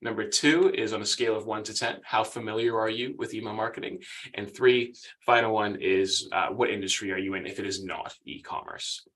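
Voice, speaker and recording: A man's voice: on the low side, brisk, a bit bright. A young man with an American accent giving a chatty, upbeat webinar presentation as the guest speaker. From United States. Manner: upbeat, chatty, laughs often.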